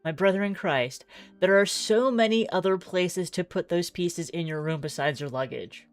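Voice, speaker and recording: Low-voiced woman streaming a game. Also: normal speed, soft background music. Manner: chatty, thinking aloud with musing asides. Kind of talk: game playthrough commentary, reading aloud.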